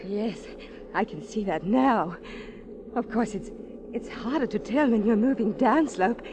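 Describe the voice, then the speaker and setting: An actress playing an earnest, grateful woman climber in a 1940s radio drama, halting in her speech, on a very noisy vintage broadcast. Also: fairly high pitch, lively intonation. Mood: curious. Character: breathless, grateful woman climber, doctor's wife.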